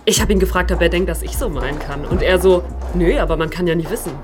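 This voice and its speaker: A woman's voice: on the low side, fast talker, music in the background, lightly acted. A woman playing a cheeky, pushy young punk with slangy talk in a German radio drama. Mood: cheeky, excited.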